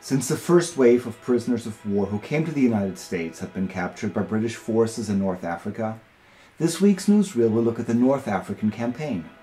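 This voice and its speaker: A man's voice: on the low side, animated, moderate pace, mellow, faint music behind. A man presenting and narrating a historical newsreel. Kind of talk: scripted historical narration with informal thanks and appeals.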